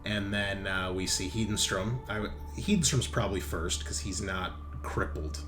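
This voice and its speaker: A man's voice: fairly low pitch, normal speed, music in the background. A man running a tabletop roleplaying game as game master, narrating casually and bantering with plenty of swearing.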